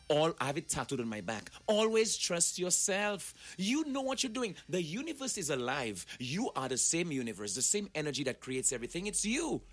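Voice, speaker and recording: Man, 40s, motivational guest. Slightly high, husky voice, preachy and emphatic. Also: fairly bright tone. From Saint Lucia. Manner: preachy, emphatic, addresses listeners directly. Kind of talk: animated motivational talk.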